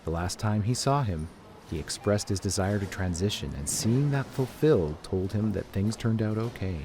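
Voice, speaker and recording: Deep-voiced, animated man narrating a story. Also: moderate pace, background noise. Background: music.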